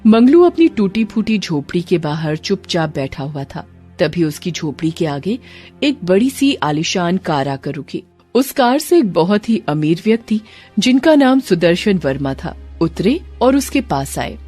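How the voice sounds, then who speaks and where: Animated woman narrating a story. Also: fairly low pitch, measured pace, soft background music. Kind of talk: dramatic scripted cartoon story narration.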